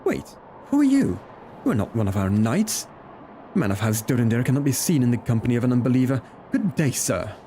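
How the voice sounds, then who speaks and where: A man's voice: mid-range voice, sing-song, brisk, mellow, slight background noise, natural voice. A man reading game dialogue aloud as a haughty, prickly astrologian who scorns outsiders. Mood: suspicious, haughty.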